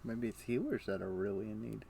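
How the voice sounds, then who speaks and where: A man's voice: on the low side, fairly quick, creaky, warm tone, slight background noise. A man casually thinking aloud in short, muttered fragments as he streams his gameplay. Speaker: game streamer.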